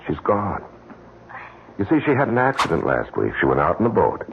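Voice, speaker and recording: Deep, creaky, smooth-talking killer. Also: male voice, wildly swooping pitch, fairly quick, a little hiss or hum, heavily acted. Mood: smooth, soothing. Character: smooth-talking, cold-blooded male seducer and killer. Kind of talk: old-time radio drama acting. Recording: muffled vintage radio broadcast, music behind.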